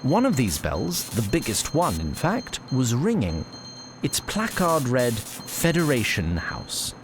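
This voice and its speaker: A man narrating a scripted fantasy audio story in a measured, literary and slightly wry manner. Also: mid-range voice, huge pitch swings, noisy background.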